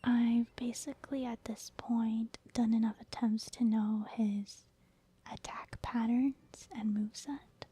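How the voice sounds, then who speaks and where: A woman giving soft-spoken ASMR game commentary in a hushed, breathy near-whisper, explaining things gently and casually. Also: on the high side, steady tone, takes it slowly. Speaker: ASMR gaming video host.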